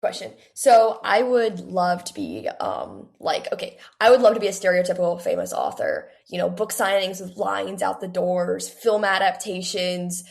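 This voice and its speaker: A woman's voice: on the low side, sing-song, normal speed, gravelly, hardly any pauses. An eighteen-year-old woman speaking casually and earnestly as a young author being interviewed, chatting with frequent use of "like".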